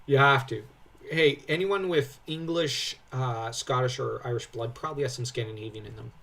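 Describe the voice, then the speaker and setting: Husky young man, slightly distant mic. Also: mid-range voice, moderate pace, a bit bright. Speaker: co-host commentator. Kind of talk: casual lore breakdown commentary.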